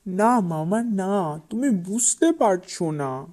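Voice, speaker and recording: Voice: medium pitch, lively intonation, takes it slowly, darkish tone. An actor voicing an ungrateful, hungry tiger in a children's cartoon fable, with a blunt, insistent way of talking. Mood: explaining, insistent. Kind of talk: children's animated fable dialogue.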